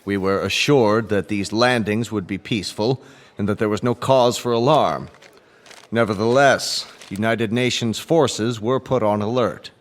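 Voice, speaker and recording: An actor playing a formal, grave Secretary General addressing an assembly in measured, oratorical radio drama style. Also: male voice, fairly low pitch, natural voice. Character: formal UN Secretary General making grave announcement, growing flustered.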